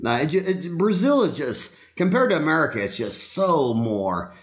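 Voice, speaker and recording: A man's voice: on the high side, big pitch swings, moderate pace. A middle-aged man hosting a podcast, chatting in a rambling, enthusiastic, joking way with many fillers. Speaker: podcast host, dentist. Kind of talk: casual interview chat.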